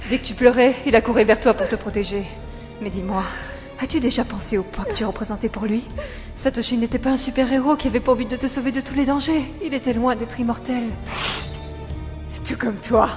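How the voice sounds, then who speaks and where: A woman's voice: fairly high pitch, fairly even intonation, brisk, close to an everyday voice. A woman voicing a cruel, vengeful, unhinged teenage girl villain in a French anime fandub, taunting and theatrically menacing. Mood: mocking. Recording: reverberant hall sound, clear music behind.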